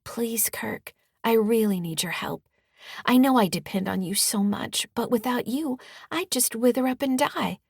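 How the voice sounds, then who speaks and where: A manipulative ex-wife from an audiobook, played by a woman narrator, coaxing in a crooning, sing-song lilt. Her voice is falsely sweet and slightly breathy, lifted in pitch, in a very dry recording. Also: lively intonation, a little fast, darkish tone, no echo. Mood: soft pleading. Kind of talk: audiobook character dialogue.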